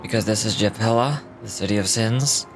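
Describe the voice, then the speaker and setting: A woman voicing a stoic, curt, battle-worn male hunter from a game, with terse deadpan delivery. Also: very deep voice, normal speed, pitched below the performer's own voice, low-quality recording. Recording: music behind the voice.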